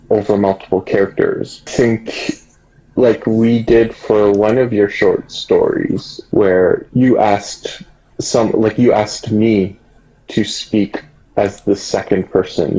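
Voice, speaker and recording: A man's voice: lowish voice, mellow, rough recording. A man chatting casually as a podcast co-host, phrasing his thoughts hesitantly. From Canada. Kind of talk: casual chat.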